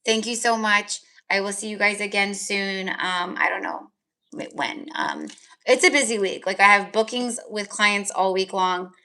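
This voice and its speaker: A woman's voice: mid-range voice, steady tone, moderate pace, pushed and bright. A woman tarot reader chatting casually on a live stream, rambling with lots of fillers, her voice tired and crackly. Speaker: tarot reader and energy healer.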